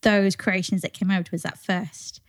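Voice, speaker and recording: A British woman from North Wales speaks as a podcast co-host. Her pitch is average for a woman, and her delivery is informal and conversational. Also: little pitch movement, moderate pace. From North Wales, near the English border. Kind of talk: casual conversational chat.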